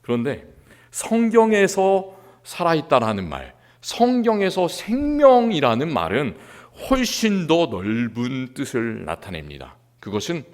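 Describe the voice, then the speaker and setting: A male pastor from Seoul preaching a sermon in a church, earnest, rising to emphasis and dropping quiet again. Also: on the high side, huge pitch swings, a little slow. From Seoul, South Korea. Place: church sanctuary.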